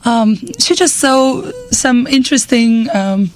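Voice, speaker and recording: Estonian woman chatting haltingly. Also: on the high side, low-quality recording. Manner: halting, searching for words, laughs often. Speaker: jazz singer guest. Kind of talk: casual radio interview.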